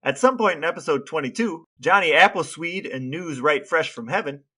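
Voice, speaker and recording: A man's voice: a little high, animated, normal speed, sharp tone, breathy edge. An American man co-hosting a podcast in a playful, mock-serious way, part dramatic reading and part casual chat. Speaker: podcast co-host.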